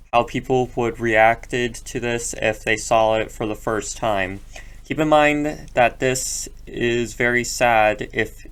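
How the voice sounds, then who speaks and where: A young man giving casual reaction commentary, rambling with halting restarts. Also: medium pitch, a bit bright, smooth. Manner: rambling, halting, repeats words. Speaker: youtuber reacting to a video.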